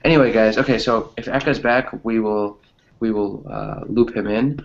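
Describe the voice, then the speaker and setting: Male online class host, lowish pitch, casual and hesitant, lo-fi sound. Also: fairly even intonation, normal speed, vocal fry, a little hiss or hum. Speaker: online English class teacher. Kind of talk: casual chat with students.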